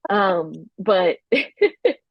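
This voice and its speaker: A woman's voice: mid-range voice, big pitch swings, drawn-out words, no room sound, highs cut off. A woman comedian guesting on a podcast, talking casually with a stutter, repeating sounds and restarting words, and swearing freely. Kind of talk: casual chat.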